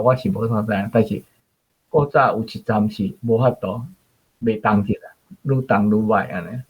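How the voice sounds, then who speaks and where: A man's voice: mid-range voice, husky. A man with a Taiwanese accent giving an informal explanation as a guest commentator. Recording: muffled, dull audio.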